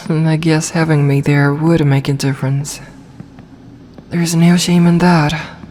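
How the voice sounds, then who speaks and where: A woman voicing a gentle, protective snake-woman in a calm, soft, reassuring ASMR roleplay manner. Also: low-pitched, slow pace, slight background noise. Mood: tender.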